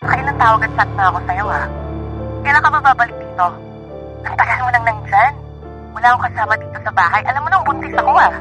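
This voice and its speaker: A woman's voice: fairly high pitch, animated, quick pace, over music, natural voice, poor audio. A woman playing an impatient, demanding pregnant mistress in a Filipino radio drama, nagging as she talks.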